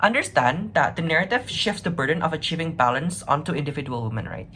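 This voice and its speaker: Low-pitched woman arguing in a debate. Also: animated, quick pace, a bit bright, slight background noise. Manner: earnest, conversational, frequent 'right?' and 'like' tags. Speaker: competitive debater, first affirmative speaker. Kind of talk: argumentative debate speech, semi-improvised.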